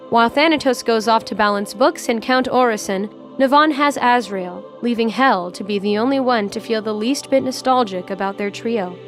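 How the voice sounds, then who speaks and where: A woman narrating a scripted story for an audio drama. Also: fairly high pitch.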